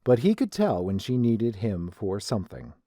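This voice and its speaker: Casting: male narrator for scripted fiction, mellow tone, animated intonation. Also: lowish voice, moderate pace, no room sound, clean studio sound.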